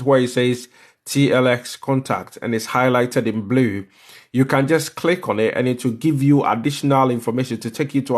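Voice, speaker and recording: A man with a Ghanaian accent hosting a live step-by-step tutorial, instructive and repeating phrases for emphasis. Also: mid-range voice. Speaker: livestream host explaining visa applications.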